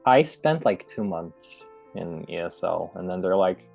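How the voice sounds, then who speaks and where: A man's voice: deep voice, animated, unhurried, vocal fry, a little mellow, faint music behind. A young man who is a native Russian speaker, talking casually and thoughtfully as a guest, with plenty of fillers and hesitations. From Belarus. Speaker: English teacher, guest. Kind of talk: casual conversation.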